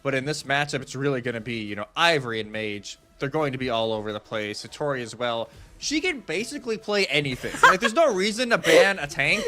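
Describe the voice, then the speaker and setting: A man's voice: mid-range voice, big pitch swings, brisk, hardly any pauses, pushed and bright. A man doing conversational live esports analysis as a commentator, explaining things in a casual way. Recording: music behind the voice. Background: music.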